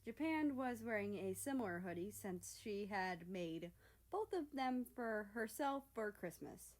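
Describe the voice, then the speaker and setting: Lively woman narrating a story. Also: mid-range voice. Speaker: fan fiction reader. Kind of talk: animated scripted story narration.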